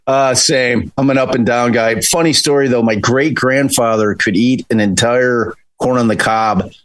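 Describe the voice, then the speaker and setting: A man in his fifties, a defense attorney, answering interview questions in a relaxed, chatty way with frequent restarts. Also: medium pitch, normal speed, no room sound. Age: 50s. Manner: relaxed, chatty, repeats words when starting a thought. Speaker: criminal defense attorney, podcast co-host.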